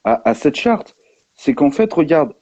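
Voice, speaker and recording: Husky man on muffled, echoey stream. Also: on the high side, big pitch swings, unhurried, mellow. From France. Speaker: live discussion participant. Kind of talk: casual personal testimony.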